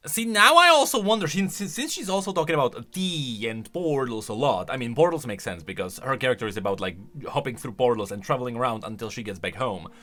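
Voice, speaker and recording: A man hosting a game channel, giving casual reaction commentary in an excitable way as he thinks aloud and speculates. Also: medium pitch, a little fast, hardly any pauses. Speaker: host of a game lore channel. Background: music.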